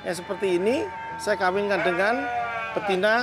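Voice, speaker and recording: A middle-aged man, walking through outdoor livestock barns and explaining his goat farm in a relaxed, matter-of-fact way. He speaks into a lapel mic. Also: sits high, fairly quick, heavy background noise. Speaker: goat farm owner giving a tour. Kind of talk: casual explanatory tour talk. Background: background music.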